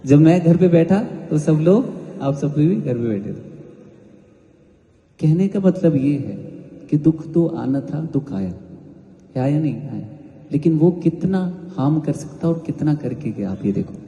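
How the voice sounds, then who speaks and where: A man's voice: a little high, lively intonation, a little slow, mellow, muffled recording. A man with an Indian accent, a devotional singer telling his own story at a religious gathering and mixing Hindi and English freely. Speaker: devotional singer giving satsang testimony. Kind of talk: devotional testimony talk. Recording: echoey hall with long reverb.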